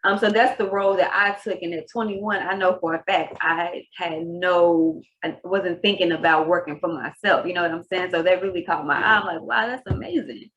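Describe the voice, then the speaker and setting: A woman's voice: fairly low pitch, moderate pace, a bit bright, muffled recording, slight background noise. A woman from Texas, hosting a podcast interview in a casual, rambling way. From Texas, USA. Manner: casual, rambling, laughs easily. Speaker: podcast host.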